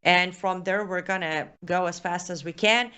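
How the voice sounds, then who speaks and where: Woman host, Persian accent, chatty and animated on a live stream, lots of fillers. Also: fairly low pitch, normal speed, clear, resonant tone. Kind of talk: casual live Q and A, reading viewer comments.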